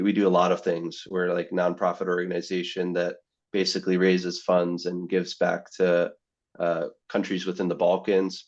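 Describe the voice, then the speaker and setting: Young man, guest, very deep voice, flat delivery, rambling with fillers, muffled recording. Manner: rambling, full of "you know" and restarts. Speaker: guest, medical student. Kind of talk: casual podcast interview.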